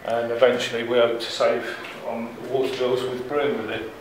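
A middle-aged head brewer giving a walking tour in plain, unhurried speech with fillers, filmed on a camera held close by. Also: male voice, medium pitch, little pitch movement. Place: large echoey brewery building, indoors. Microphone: handheld camera close by, following him. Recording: echoey rooms with air-conditioning hum. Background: air-conditioning hum.

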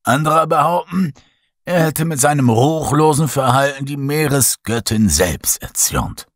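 A man's voice: mid-range voice, lively intonation, a little slow, dry recording, studio quality, voice pushed up. A man voicing a strange old quartermaster who tells an eerie sea legend. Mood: ominous. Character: strange old male quartermaster, spinning ghost tales.

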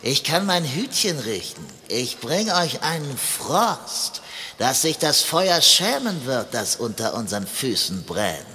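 A man's voice: mid-range voice, very animated, slow, long reverb tail, background noise. A man playing a cool, laid-back frost-maker with an exaggerated drawl in a children's fairy-tale radio play. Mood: cool, boastful.

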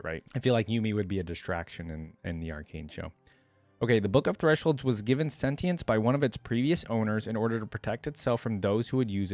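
Young male reaction host, casual and expressive, animated intonation, dry close-mic sound. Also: fairly low pitch, dry recording. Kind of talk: casual reaction commentary, reading aloud. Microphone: close desktop microphone.

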